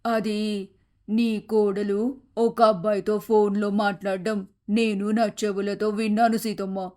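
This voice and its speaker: A woman's voice: medium pitch, level tone, slow, dark tone, close to an everyday voice. A woman voicing a scheming, gossiping neighbour in an acted moral story. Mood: insinuating.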